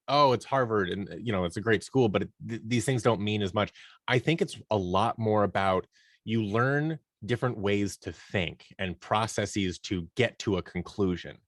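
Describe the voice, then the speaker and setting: Low-voiced man chatting, dry close sound. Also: dry recording. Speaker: podcast guest, audio drama producer and performer. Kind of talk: casual conversational interview.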